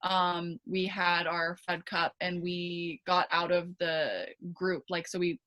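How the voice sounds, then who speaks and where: A woman's voice: fairly low pitch, flat delivery, slow pace, a bit bright. A young woman with a Canadian accent, a pro tennis player chatting as a podcast guest, with plenty of fillers, restarts and easy laughs. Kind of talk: casual interview chat.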